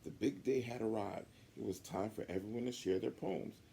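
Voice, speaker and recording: A man reading a picture book aloud to children in an animated way, with chatty asides. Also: lowish voice, normal speed, vocal fry, slight background noise. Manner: chatty asides to young listeners.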